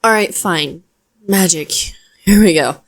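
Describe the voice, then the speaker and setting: A woman's voice: on the low side, unhurried, slight background noise, lightly acted. Woman acting flustered, chatty young female wizard. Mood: earnest explaining. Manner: conversational, hesitant, expressive. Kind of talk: scripted audio roleplay addressed to the listener.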